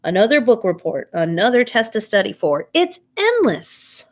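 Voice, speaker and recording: A woman reading a children's bedtime story aloud in an expressive, animated way, putting questions to young listeners. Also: a little high, normal speed.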